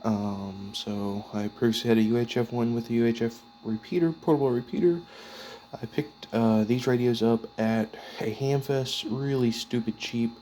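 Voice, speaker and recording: A man giving a casual, unscripted show-and-tell of his gear as a hobbyist, speaking in a halting, piecemeal way as he handles items. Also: mid-range voice, steady tone, warm tone. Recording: clearly noisy background with clicks.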